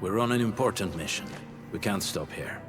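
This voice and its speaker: A man's voice: lowish voice, expressive, brisk, close to an everyday voice. An actor playing a stern, decisive male soldier in a video game, clipped and matter-of-fact. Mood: firm. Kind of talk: dramatic video game voice acting. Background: game music.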